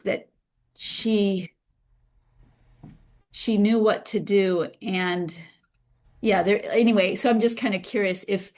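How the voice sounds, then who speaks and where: Tentative woman with a lowish voice. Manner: tentative, meandering. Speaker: audience member asking a question. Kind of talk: informal Q&A question.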